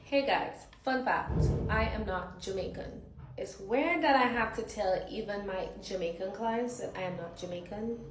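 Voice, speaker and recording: A woman's voice: mid-range voice, normal speed, roomy sound, noisy background. A woman with a Dominican accent from Dominica, speaking to camera in an assertive, firm way. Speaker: works with clients.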